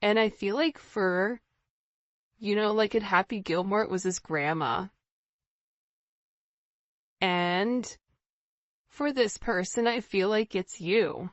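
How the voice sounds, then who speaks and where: A woman speaks as a tarot reader in an informal, conversational style, addressing the listener directly and checking in as she goes. Her voice sits at a medium pitch. The recording is dry, with little room sound, and slightly dull. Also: takes it slowly, pauses often, dry recording. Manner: conversational, often checking in with "right?" and "you know".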